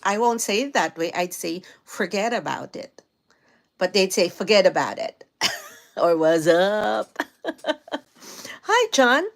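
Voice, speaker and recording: A woman's voice: medium pitch, expressive, slow pace, gravelly, pauses often. A middle-aged woman with a Filipino accent, hosting a casual live stream and chatting with viewers, laughing often. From Philippines. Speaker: livestream host. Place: home in New York.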